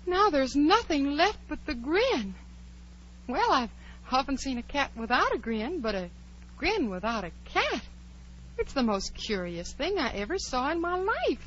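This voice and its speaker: A woman's voice: high-pitched, sing-song, a little slow, a bit bright, heavily acted. An actress playing a curious, prim, outspoken little girl in a 1940s radio drama. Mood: wondering. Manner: proper, precise, indignant. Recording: dull, noisy old radio recording, hum.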